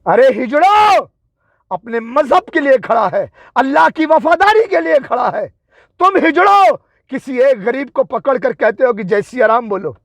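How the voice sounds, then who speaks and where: A man's voice: very high-pitched, expressive, measured pace, no echo, studio quality. A man delivering an angry, confrontational political and religious tirade, taunting with rhetorical questions and emphatic repetition.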